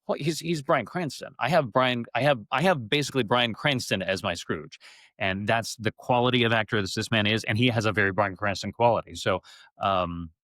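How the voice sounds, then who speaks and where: A man speaking as an interview guest about his theater work, in casual, animated, effusive storytelling. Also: fairly low pitch, fairly quick, no echo, studio quality. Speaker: interview guest, theater actor and radio-theater adapter.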